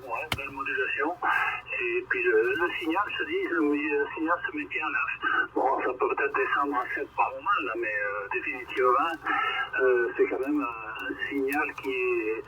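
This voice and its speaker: A man's voice: mid-range voice, normal speed. Noisy radio transmission, Quebec French man. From Quebec, Canada. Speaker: amateur radio operator. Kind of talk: casual radio contact with signal reports. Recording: dull, very noisy distant radio reception.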